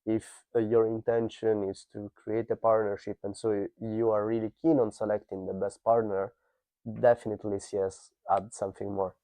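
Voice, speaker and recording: A man's voice: on the low side, flat delivery, warm tone, no echo. A man whose first language is Italian, talking through a project in a business podcast interview with fillers and restarts. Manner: measured, thoughtful, with frequent fillers and restarts.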